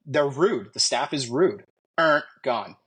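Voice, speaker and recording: A man's voice: medium pitch, lively intonation, takes it slowly, fairly bright tone, breathy edge. A man in his fifties, a guest, talking casually and thoughtfully, often restarting phrases as he walks through examples. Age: 50s. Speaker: guest, medical spa marketing. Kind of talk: casual conversation.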